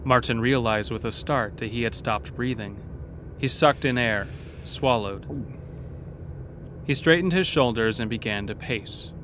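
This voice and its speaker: A man's voice: mid-range voice, a little slow, a bit bright, slight background noise. A man narrating a scripted horror story in a dramatic storytelling style.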